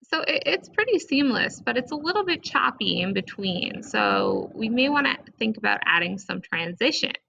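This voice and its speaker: A woman presenting a casual step-by-step software tutorial in an informal, conversational way, recorded with dull, lo-fi sound. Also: on the high side, sing-song, normal speed, vocal fry, few pauses.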